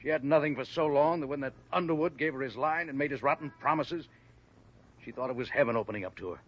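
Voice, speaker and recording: A man's voice: mid-range voice, quick pace, lightly acted. A man playing a loyal, resentful cab driver with an American accent, earnest and bitter, protective in manner, in a 1950s radio drama. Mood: protective, indignant. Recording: muffled, hissy vintage radio recording.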